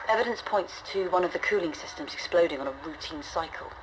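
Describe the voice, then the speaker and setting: A resolute, weary woman in command of a stranded starship , played by an actress, speaks in a low voice. Her delivery is measured, grave and reflective, like a scripted log in a science fiction game. A faint noisy ambience sits behind her words. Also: close to an everyday voice. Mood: grim, matter-of-fact. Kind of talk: scripted audio log narration, dramatic game acting.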